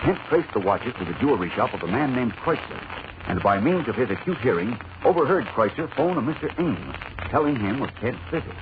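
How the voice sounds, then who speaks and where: A man's voice: mid-range voice, big pitch swings, fairly quick. A man giving dramatic scripted narration as announcer of a 1940s radio serial. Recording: muffled, noisy vintage radio recording.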